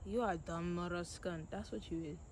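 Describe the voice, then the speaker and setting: A woman's voice: fairly low pitch, steady tone, fairly quick, slight background noise. A woman with a Caribbean accent in casual conversation, blunt, indignant and sarcastic.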